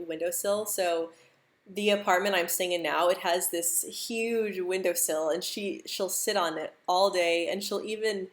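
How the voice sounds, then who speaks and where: A young American woman who stutters, repeating words and sounds, chatting casually on a live stream and laughing easily. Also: on the low side. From United States.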